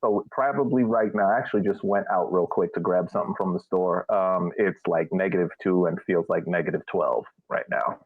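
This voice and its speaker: Male panelist, slightly low pitch, dry room, narrow radio-like band, sarcastic conversational delivery. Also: steady tone, fairly quick, few pauses, breathy edge, no room sound. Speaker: podcast panelist. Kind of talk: casual chat. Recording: narrow phone-like, radio-like sound.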